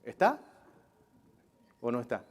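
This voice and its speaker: This is a man with a mid-range voice, teaching scripture to a live class. He is didactic and builds his points step by step through questions. He often pauses to check that his listeners are following. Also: mid-range voice, huge pitch swings. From Israel. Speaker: Bible teacher.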